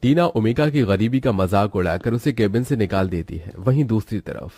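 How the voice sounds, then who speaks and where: A man's voice: fairly low pitch, animated, moderate pace, dark tone, husky, dry recording, clean studio sound, faint music behind. A man reading scripted narration for a moral story as its narrator.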